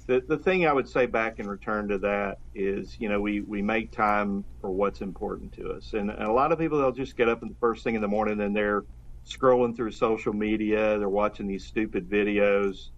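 A man's voice: fairly low pitch, steady tone, normal speed. A pastor speaking earnestly and fervently about faith in a casual conversation, often restarting his sentences. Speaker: pastor, guest. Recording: dull, lo-fi sound.

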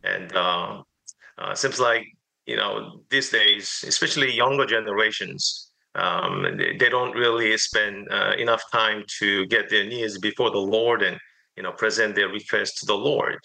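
A middle-aged man from South Korea speaks. His low voice has a gravelly fry and a sharp, bright edge. He answers as a pastor in an interview, hesitantly and with frequent fillers. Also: lively intonation, a little slow, poor audio.

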